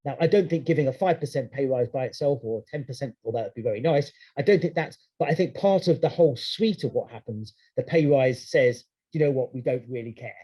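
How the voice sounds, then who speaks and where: A man's voice: mid-range voice, a little fast, few pauses, dark tone. A man speaking at a webinar, answering a question in a conversational way with many restarts. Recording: dull lo-fi video-call audio.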